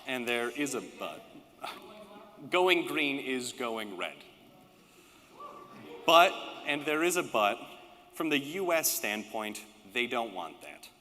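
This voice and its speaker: A man giving a prepared political speech at a conference, with emphatic, rhetorical phrasing and deliberate repetition. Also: medium pitch, very animated, pushed and bright. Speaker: political speaker, panelist. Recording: reverberant hall with long echo.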